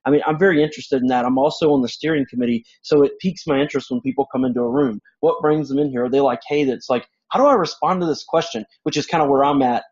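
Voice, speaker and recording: A man from Louisiana speaks at a conference session with a loud, chatty delivery and often restarts his words. His voice has a husky edge. The recording is dull and lo-fi. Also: mid-range voice, fast talker, hardly any pauses. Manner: loud, chatty, repeats word starts. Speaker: conference presenter. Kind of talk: conference session talk, audience participation.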